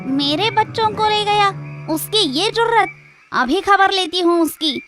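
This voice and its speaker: A man voicing a loving, fiercely protective mother goat in a children's cartoon story, with shrill, emphatic motherly delivery. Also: animated, measured pace, over music. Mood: indignant.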